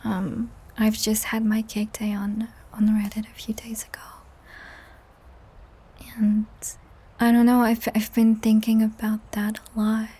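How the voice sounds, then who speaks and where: A young woman hosting a whispered, ASMR-style chat podcast, rambling softly with giggles, her voice strained and cracked. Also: fairly high pitch, completely flat delivery, measured pace, husky. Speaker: podcast host and audio creator. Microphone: high-end studio microphone.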